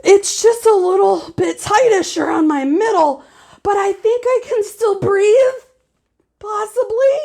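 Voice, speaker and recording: A woman voicing a dramatic, gushy little girl who squeals and begs in a high voice. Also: a little slow, vocal fry, darkish tone, heavily acted. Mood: proud.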